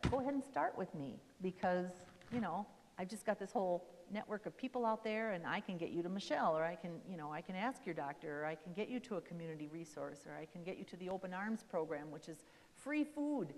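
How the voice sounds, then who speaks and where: Chatty woman social worker in echoey hall. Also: fairly low pitch. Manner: chatty and friendly, rambling with many "you know" fillers. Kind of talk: casual talk at a church caregiver seminar. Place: church meeting hall.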